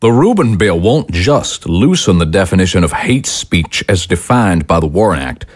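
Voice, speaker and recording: A man plays a fiery right-wing radio pundit in an audio drama. His voice sits on the low side and swings widely in pitch. He orates indignantly, leaning hard on key words and pausing for drama. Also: very animated. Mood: alarmed, indignant. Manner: indignant, emphatic oratory with dramatic pauses. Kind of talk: scripted political broadcast monologue.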